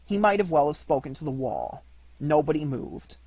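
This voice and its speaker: A man narrates a children's storybook in deliberate, measured phrases. His voice sits a little high with a husky grain, and the sound is dry. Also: sing-song, darkish tone, dry recording. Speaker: audiobook reader.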